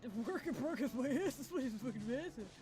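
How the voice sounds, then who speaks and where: A woman's voice: sits high, expressive, a little fast. A woman giving casual, sarcastic, swearing gameplay commentary over game music. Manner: foul-mouthed, sarcastic. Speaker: gaming video maker.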